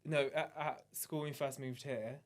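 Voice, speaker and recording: A man's voice: medium pitch, fairly even intonation, moderate pace. A man hosting a casual podcast chat, asking curious questions and laughing easily.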